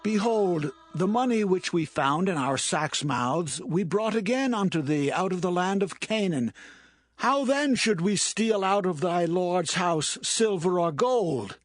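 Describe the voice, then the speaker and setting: A man's voice: high voice, expressive, unhurried, no room sound, faint music behind. An actor playing an anxious brother, pleading his honesty in a dramatised scripture reading. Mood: indignant pleading.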